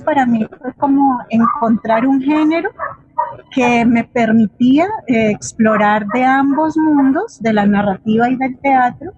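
A woman's voice: fairly high pitch, darkish tone, breathy edge, no room sound. A woman with a Colombian accent speaking reflectively as a guest at a forum, thoughtful and hesitant, often checking in with short tag questions. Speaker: guest playwright and drama teacher. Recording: muffled lo-fi audio.

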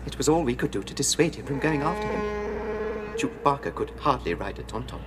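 A man's voice: fairly high pitch, very animated, fairly quick, very noisy. A man playing an anxious, polite male protocol droid in a radio drama, with prim, formal, fretful diction. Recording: music behind the voice.

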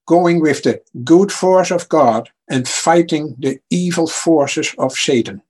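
A man's voice: a little high, takes it slowly, darkish tone, husky. A man with a Dutch accent answering as a guest author in an interview, earnest and given to repeating his first words.

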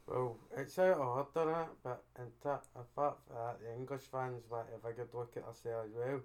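A man's voice: medium pitch, steady tone, brisk. A man with a Scottish accent co-hosting a podcast, giving matter-of-fact opinions in casual chat. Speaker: podcast co-host.

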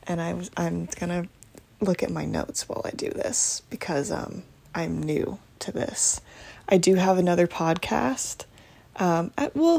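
A woman in her twenties hosting a casual solo podcast from her bedroom late at night, talking low and hushed with lots of ums and restarts. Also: vocal fry, slightly rough. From United States. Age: 20s. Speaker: podcast host.

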